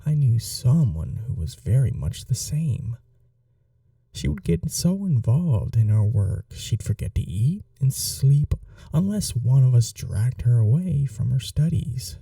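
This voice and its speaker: A man playing a gentle, old-world vampire gentleman in an intimate ASMR roleplay, speaking in a polite, soothing, softly formal way. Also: mid-range voice, sing-song, unhurried, creaky, no echo, far from the mic, full character voice. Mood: gentle, reassuring.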